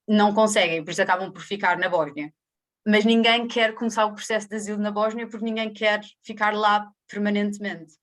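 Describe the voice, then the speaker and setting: A woman's voice: mid-range voice, fairly bright tone. Young Portuguese woman explaining aid work. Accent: European Portuguese. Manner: fluent, mixes in English terms, occasional laughs. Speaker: guest volunteer. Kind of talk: online panel testimony. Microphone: video call.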